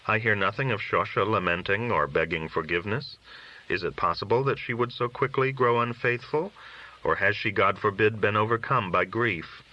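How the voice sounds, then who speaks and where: A man's voice: lowish voice, normal speed, lightly acted. Man voicing an earnest devout husband, dull recording. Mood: puzzled. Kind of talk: audiobook character dialogue.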